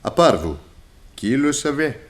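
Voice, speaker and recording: An actor playing a suspicious, self-assured detective inspector in a 1960s French radio drama, putting clipped questions. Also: male voice, mid-range voice, sing-song, a little slow. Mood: probing.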